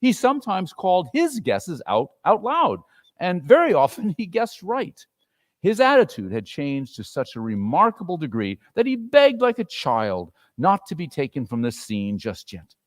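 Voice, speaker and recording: A man giving an expressive, theatrical dramatic reading of a story as narrator. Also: high voice, normal speed. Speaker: dramatic reader.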